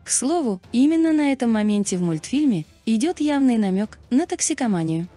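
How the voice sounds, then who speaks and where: A woman's voice: fairly high pitch, very animated, a little fast, mellow. A woman reading a scripted explainer narration as a narrator. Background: background music.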